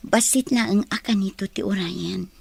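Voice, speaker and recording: An actress playing a hesitant young woman being courted in a radio drama, with a breathy voice. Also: mid-range voice, moderate pace, no room sound. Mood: tender, hesitant.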